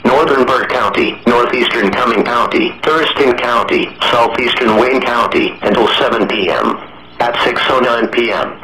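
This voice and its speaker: A synthetic male voice reading formal emergency weather alerts over the radio in a measured, impersonal way. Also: medium pitch. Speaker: automated weather radio announcer. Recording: echoey, noisy weather radio broadcast with clicks.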